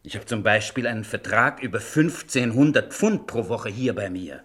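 A fairly low-pitched show-business manager from a radio crime drama. He sounds worried yet businesslike. His phrases are deliberate and emphatic, with smooth self-interest. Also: expressive, a bit bright.

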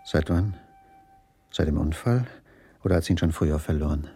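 An actor playing a brooding, suspicious husband in a radio crime drama, with a gravelly voice and a probing, questioning manner. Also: male voice, extremely low pitch, lively intonation, moderate pace, mellow, full character voice. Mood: puzzled. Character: brooding, suspicious railway dining-car waiter, injured woman's husband.

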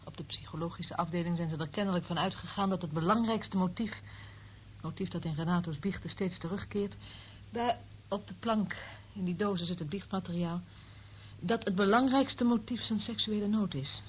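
A woman's voice: lowish voice, normal speed, lightly acted. An actress playing a cynical female eavesdropper in a radio play, sardonic and theatrical, relishing what she knows. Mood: scornful. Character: cynical, gloating female eavesdropper of a secret service. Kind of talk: radio drama acting. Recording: narrow tinny old radio recording, faint noise.

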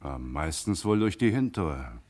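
A man's voice: a bass voice, big pitch swings, unhurried, darkish tone, exaggerated voice. An actor playing an uneasy male car mechanic in a German radio crime drama, hesitant and hedging under questioning.

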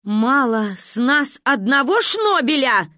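A woman's voice: high voice, expressive, fairly quick, darkish tone, breathy edge, no room sound, clean studio sound, voice pushed up. A woman voicing a flustered, exasperated mother in a story, anxious and scolding with heavy emphasis. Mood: cross.

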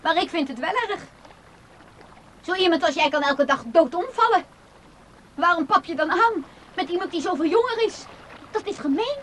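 A high-pitched, lonely younger woman in a radio play. She is touchy and clinging in temper. There is faint noise behind the voice. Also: expressive, moderate pace, pauses often, fairly bright tone. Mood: bitter, defiant. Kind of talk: Dutch radio play acting.

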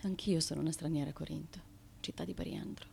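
An actress playing a fragile, bewildered, devoted wife of an ancient tyrant in a radio play, moving between hushed and insistent delivery. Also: female voice, low-pitched, steady tone, fast, vocal fry, breathy edge, full character voice. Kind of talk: Italian radio theatre acting.

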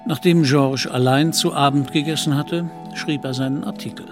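A man's voice: fairly high pitch, fairly even intonation, moderate pace, music in the background. A man narrating a literary radio play.

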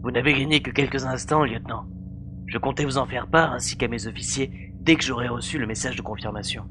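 A man performs a self-assured military commander in a science fiction audio story. He speaks at middling pitch in formal, measured, commanding phrases with dry mockery. Clear background noise and hum run underneath. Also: natural voice. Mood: dry reproach. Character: authoritative male space major, confident, dryly mocking commander. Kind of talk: acted audiobook dialogue.

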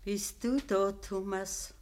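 An actress playing a gentle, ailing old farmer's wife in a radio play, speaking Bavarian dialect with a weak, resigned manner. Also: female voice, medium pitch, fairly even intonation, slight background noise, lightly acted. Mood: weary, resigned. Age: older. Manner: slow, plain rural dialect speech. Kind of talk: naturalistic radio drama acting.

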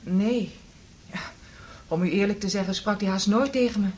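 A woman's voice: medium pitch, normal speed, darkish tone, lightly acted. An actress playing a plain-spoken, matter-of-fact landlady in a Dutch radio play. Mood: firm, puzzled.

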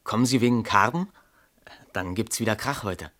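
A young actor playing a mayor's polite, candid teenage schoolboy son in a radio drama. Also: male voice, fairly low pitch, moderate pace, fairly bright tone, lightly acted. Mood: candid, confiding. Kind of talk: 1970s German radio drama acting.

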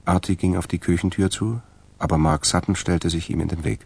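A man narrating a radio crime drama from a script in a gravelly voice. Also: deep voice, steady tone, a little fast, a little mellow. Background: music.

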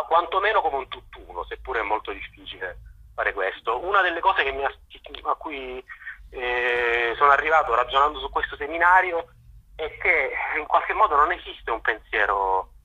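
A man speaking as a journalist guest, analysing in a halting way, searching for words and restarting phrases, over a phone call to a radio show. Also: medium pitch, pushed and bright, breathy edge. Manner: halting, searching for words with repeated false starts. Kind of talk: spoken political and historical analysis in an interview.

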